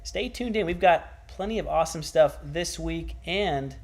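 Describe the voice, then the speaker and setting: A man's voice: on the high side, moderate pace, vocal fry. A man hosting a livestreamed church broadcast, upbeat and enthusiastic as he interviews a guest. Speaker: church host.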